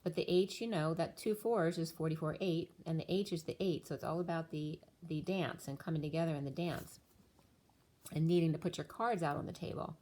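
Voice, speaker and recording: Female tarot reader, low voice going hoarse, rambling casual delivery. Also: a little mellow. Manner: rambling, stream-of-thought explanations. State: losing her voice. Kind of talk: casual spiritual reading talk.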